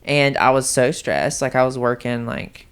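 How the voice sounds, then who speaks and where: A young man with a high-pitched voice telling his story as a podcast guest, chatty and candid with many ums and likes. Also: expressive, normal speed, fairly bright tone, clear, resonant tone. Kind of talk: casual storytelling chat.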